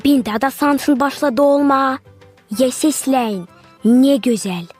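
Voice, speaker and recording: A woman's voice: sits high, takes it slowly, darkish tone. A woman voicing an eager, agreeable young musician in a cartoon, with a cheerful manner. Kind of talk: animated cartoon voice acting. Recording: music behind the voice.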